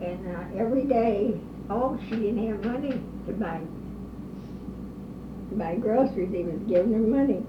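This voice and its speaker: An older woman being interviewed, slowly reminiscing about her old neighborhood with pauses, hesitations and the odd cough. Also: medium pitch. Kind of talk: oral history interview. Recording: distant roomy lo-fi recording with noise.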